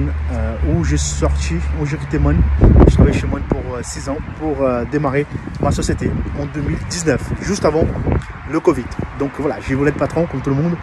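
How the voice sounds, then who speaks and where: Man, Brazilian accent, Portuguese native speaker, hesitant, casual vlog, outdoor yard, noisy background. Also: medium pitch. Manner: hesitant, many fillers and restarts. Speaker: heavy-truck mechanic and garage owner. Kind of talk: casual vlog tour and personal story. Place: outdoors at a truck yard and garage. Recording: outdoor street noise behind.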